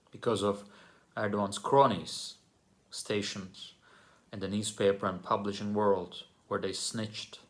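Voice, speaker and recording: Male author from Turkey, lowish gravelly voice, measured prepared reading. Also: a bit bright. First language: Turkish.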